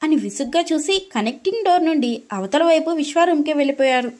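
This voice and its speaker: A woman's voice: high voice, lively intonation, fast talker, darkish tone. A woman narrating a scripted dramatic story as its storyteller.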